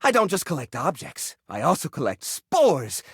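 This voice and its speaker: A man voicing an eccentric, cheerful hoarder in a video game, theatrical and excitable, rambling like a proud tour guide. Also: fairly high pitch, moderate pace, breathy edge, no echo, studio quality, full character voice. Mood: indignant, proud. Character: eccentric, cheerful male hoarder personifying a junk drawer. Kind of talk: animated video game voice acting.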